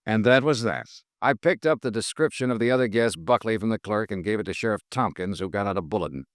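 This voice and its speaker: An actor playing a dogged, wry American insurance investigator in a 1950s radio drama, with clipped, probing questions and first-person narration. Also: male voice, lowish voice, animated, fast, no room sound, clean studio sound, close to an everyday voice.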